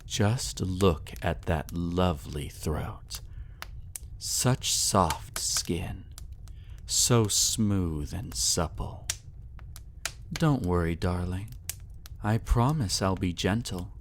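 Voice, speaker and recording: A man's voice: sits low, drawn-out words, pauses often, slight background noise, exaggerated voice. A man playing a sadistic, possessive vampire in an intimate roleplay audio drama, with a commanding, condescendingly tender purr. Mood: possessive, predatory. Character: sadistic, possessive male vampire, silky and menacing.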